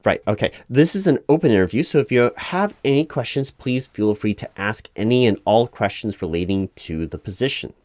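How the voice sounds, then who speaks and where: A man playing a recruiting manager in a soft-of-script, improvised ASMR interview roleplay, speaking aloud in a halting, officious, explanatory way. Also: medium pitch, animated, no room sound, studio quality. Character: flustered, officious male recruiting manager at a company. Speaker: job interviewer in a one-sided roleplay.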